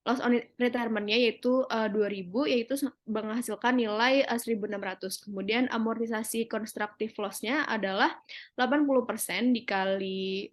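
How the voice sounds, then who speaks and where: Young woman doing a student presentation, voice a bit high, stops and hesitates a lot while explaining. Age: young adult. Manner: halting, frequent hesitation pauses.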